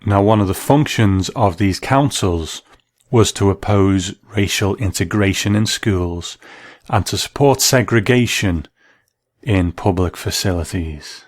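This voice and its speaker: A man's voice: low-pitched, measured pace, no room sound, studio quality. A man hosting a talk program, giving scripted commentary and reading from articles. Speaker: host.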